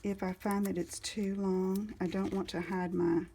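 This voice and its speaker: A woman's voice: medium pitch, little pitch movement, dark tone, slight background noise. A woman giving a casual step-by-step card-making tutorial, talking as she works and stopping now and then to look for her supplies. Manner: unhurried, talks while working, stops to search for supplies.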